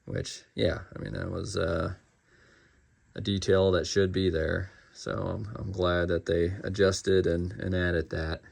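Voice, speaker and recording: Low-pitched, gravelly man reviewing casually. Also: little pitch movement, measured pace. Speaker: collector reviewing a collectible bust.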